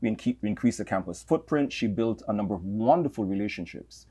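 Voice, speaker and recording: A middle-aged man with a Trinidadian accent, speaking as an interview guest in a measured, reflective way, often repeating words as he gathers his thoughts. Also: fairly low pitch, lively intonation, warm tone, slightly rough. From Trinidad and Tobago. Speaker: campus leader, guest. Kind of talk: interview conversation.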